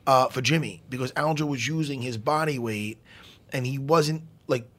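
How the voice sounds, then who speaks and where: Hoarse, rambling man chatting fights. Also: mid-range voice, normal speed, fairly bright tone. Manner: loose, swearing, self-mocking, rambling. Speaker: podcast host. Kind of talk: casual chat about fights.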